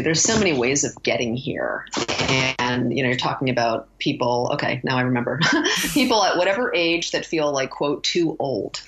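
A woman with a very low voice, chatting casually as an interview guest. She rambles reflectively and often breaks off her own sentences. The recording is a bit dull and lo-fi. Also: fairly bright tone, slight background noise.

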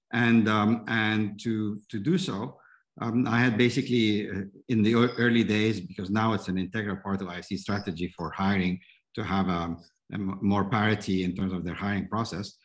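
A man in his fifties, raised in Egypt, speaks over a video call as a panel guest. His voice is lowish, and he talks haltingly, circling back and restarting as he works through his answers. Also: fairly even intonation, low-quality recording. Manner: halting, with many restarts and fillers. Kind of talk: answering questions in an online panel. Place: indoor room at home.

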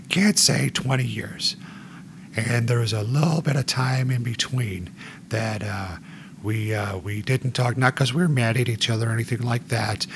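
A man in his forties with a gravelly voice, hosting a joking podcast chat. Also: mid-range voice, background noise. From United States. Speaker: podcast host.

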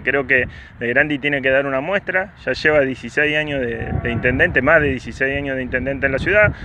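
A man in his forties with an Argentine accent, a city councillor speaking earnestly and insistently in an outdoor interview, often restarting his phrases. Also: mid-range voice. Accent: Argentine Spanish. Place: open field by a paved street, outdoors. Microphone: camera at close range. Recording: outdoor wind and traffic noise.

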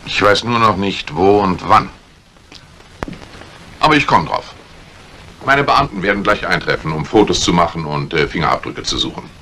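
A brusque police inspector in an old radio thriller. His voice is very deep and bright-edged, with animated swings as he probes and commands deliberately. The vintage recording has a faint noise. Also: full character voice. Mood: grave, determined. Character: brusque, authoritative middle-aged male police detective inspector. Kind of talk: 1950s German radio crime drama acting. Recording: noisy vintage 1950s radio drama recording.

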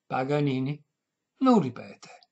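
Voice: medium pitch, lively intonation, a little mellow, breathy edge, lo-fi sound. A narrator playing a famous male violinist in a comic story, courtly but exasperated, pompous and emphatic. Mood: courteous firmness. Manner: pompous, emphatic, drawn-out delivery.

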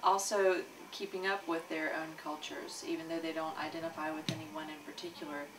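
A woman on the school staff speaking in a measured, hesitant way in a documentary interview. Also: low-pitched, flat delivery. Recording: distant mic with background noise.

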